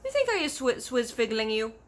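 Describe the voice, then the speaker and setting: A woman's voice: fairly high pitch, big pitch swings, a little fast, voice pushed up. A woman voicing a kindly, big-eared storybook giant who is earnest, proud and easily offended, talking in folksy broken grammar with invented words. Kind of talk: animated children's storybook character voice.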